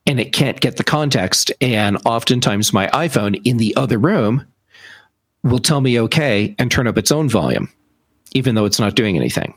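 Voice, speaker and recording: Male podcast co-host, casual and explanatory. Rough-toned voice, deliberate phrasing with pauses, very dry sound. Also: medium pitch, big pitch swings, no room sound. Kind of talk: casual tech podcast chat.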